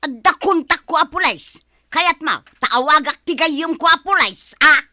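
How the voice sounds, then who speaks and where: A woman playing a bossy, protective village commander in an Ilocano radio comedy drama, speaking loudly and emphatically with an Ilocano accent. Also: high-pitched, a little slow, no echo, studio quality, full character voice. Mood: stern, threatening. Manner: loud, emphatic, exclamatory. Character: bossy, scolding female village commander, fiercely protective friend.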